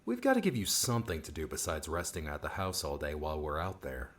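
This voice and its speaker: A man's voice: very deep voice, sing-song, fast, a bit bright, voice pushed down, exaggerated voice. A man voicing a dry, needling young comrade in an audiobook, teasing and pointed in manner.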